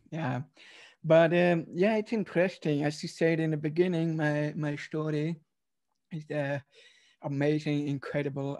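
A man's voice: on the high side, a little mellow. A man in his thirties from Switzerland, a podcast guest telling his life story over a video call, hesitant with many fillers. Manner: hesitant, many uhs, slightly non-native phrasing. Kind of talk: personal storytelling interview. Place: home room, video call.

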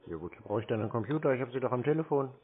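A man's voice: medium pitch, rapid-fire, a little hiss or hum. A man talking casually and sceptically on a phone call, challenging the other person in a dry, needling way. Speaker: scambaiter taking a scam call. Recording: narrow, muffled phone sound.

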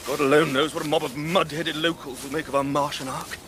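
An actor playing an earnest, excitable and anxious Victorian gentleman in a radio drama, hesitant and given to rhetoric. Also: a little high, brisk, lightly acted. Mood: irritable. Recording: radio play with noisy effects behind.

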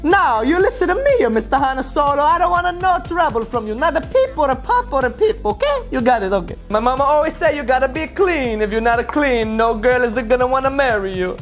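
Woman playing excitable cartoon plumber, mock Italian accent, high pitch, broken grammar, playful scolding, light music underneath. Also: brisk, hardly any pauses, natural voice. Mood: chatty, playful. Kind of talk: playful toy unboxing in character.

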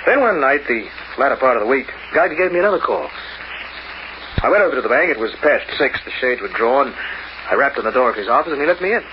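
An actor playing a slangy, hard-boiled locksmith in an old-time radio crime drama. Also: male voice, mid-range voice, very animated, fast, a faint crowd, lightly acted. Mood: confiding. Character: edgy, wisecracking working-class male locksmith. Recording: muffled, noisy old radio recording.

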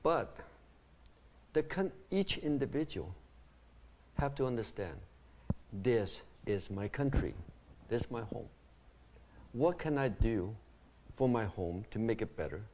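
A man's voice: mid-range voice, slow pace, pauses often, a little hiss or hum. A middle-aged man from Taiwan, a community founder, answering interview questions earnestly in an ornate living room. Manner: animated, gestures with hands while explaining.

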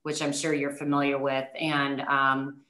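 Female panelist, banker. Deep for a woman, conversational storytelling, roomy echo on the recording. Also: level tone, unhurried, pushed and bright. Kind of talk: panel discussion talk, personal story.

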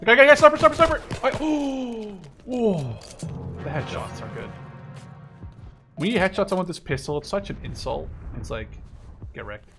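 A man's voice: sits high, very animated, normal speed, pauses often, music in the background. A man in his twenties chatting casually over gameplay, exclaiming and swearing as he goes. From Canada. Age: 20s. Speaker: gamer. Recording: game gunfire behind the voice. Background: video game gunshots and vehicles.

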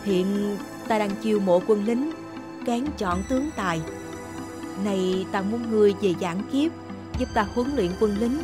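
A woman voicing a stately older male general in an animated folktale, speaking formally with measured authority. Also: medium pitch, music in the background, natural voice. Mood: grave, approving.

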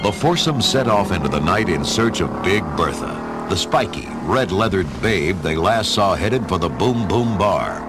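Male radio-play narrator: lowish, very expressive, dramatic scripted read over music. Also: moderate pace, few pauses.

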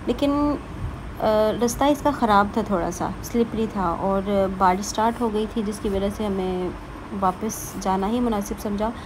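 A woman's voice: mid-range voice, noisy background. A woman narrating a casual travel vlog, chatty and keen to recommend places to her viewers. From Pakistan. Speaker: travel vlogger.

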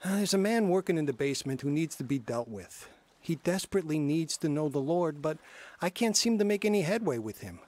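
Man playing a nice church guy at the water plant, high lively voice, kinda hesitant. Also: brisk, lightly acted. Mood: friendly.

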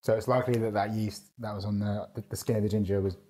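A young man with a croaky voice co-hosting a podcast, chatting easily about a drink at a brewery table. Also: on the low side, fairly even intonation, fast, mellow. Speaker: podcast co-host. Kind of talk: casual tasting chat. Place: brewery room with steel tanks, indoors.

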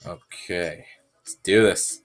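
A young man giving goofy, self-mocking commentary while playing a game, sick and sounding deeper than usual. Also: fairly even intonation, slow pace, soft background music. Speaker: gamer. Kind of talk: casual gameplay commentary.

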